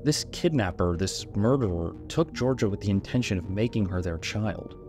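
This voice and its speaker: A man's voice: lowish voice, expressive, normal speed, music in the background. A man hosting a video essay, giving scripted narration and commentary.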